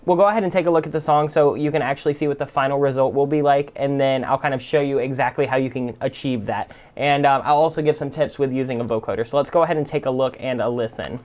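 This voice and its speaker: A man giving a casual, conversational software tutorial as the host. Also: medium pitch, steady tone, quick pace, hardly any pauses. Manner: informal, conversational. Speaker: music production tutorial host. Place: indoors at a computer.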